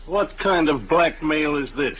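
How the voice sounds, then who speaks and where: An actor playing a harried, stubborn family man and businessman with a gruff, exasperated manner in a 1950s radio drama. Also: on the high side, lively intonation, measured pace. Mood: indignant. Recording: noisy, tinny 1950s radio recording.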